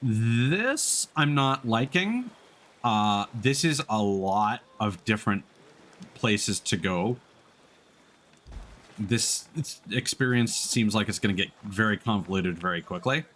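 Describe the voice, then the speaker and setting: A man's voice: lowish voice, expressive, slow pace, a bit bright, a little hiss or hum. A man live-streaming a game with casual commentary, reacting aloud in a wry, joking way.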